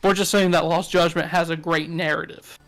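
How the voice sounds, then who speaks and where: A man's voice: fairly high pitch, flat delivery, brisk, creaky, a bit bright, soft background music. A man with a Southern US accent, co-hosting a podcast in frank, joking casual talk. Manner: joking, frank, swears often. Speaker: podcast co-host. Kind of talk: casual chat.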